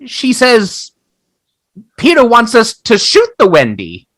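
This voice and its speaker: A woman's voice: on the high side, wildly swooping pitch, slow, a bit bright, no room sound, studio quality, heavily acted. A woman playing a naive, eager little boy in an audio play, with an earnest, dramatic delivery. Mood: urgent, excited.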